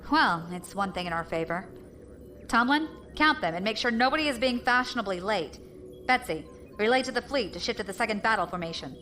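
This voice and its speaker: A woman's voice: mid-range voice, expressive, a little fast, bright, forceful tone, soft background music. A woman playing a defiant, commanding rebel fleet leader in an audio drama. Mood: brisk, commanding. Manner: commanding, composed.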